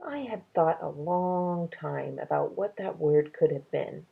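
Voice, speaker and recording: A gentle old husband read by a woman, weary and thoughtful, in a low, husky voice. He speaks softly and slowly, on a thin, tinny recording. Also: exaggerated voice. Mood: soft, reflective. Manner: soft, slow, thoughtful.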